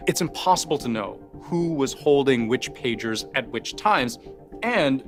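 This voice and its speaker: A man delivers scripted news narration at a medium pitch. His phrasing is deliberate and emphatic, punctuated by rhetorical questions. Music plays clearly behind his voice. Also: animated. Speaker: news explainer host. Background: background music bed.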